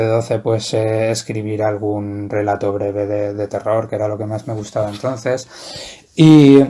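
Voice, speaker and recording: A man's voice: medium pitch, warm tone. A man, a writer answering interview questions in a hesitant, thoughtful way with many restarts. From Valencia, Spain. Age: 40s. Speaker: guest writer.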